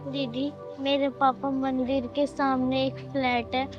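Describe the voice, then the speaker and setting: A woman's voice: high voice, little pitch movement, unhurried. A female performer playing a poor, distressed schoolgirl who answers plaintively and respectfully in a short drama film. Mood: sad, pleading. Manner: plaintive, deferential. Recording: dull lo-fi sound under music.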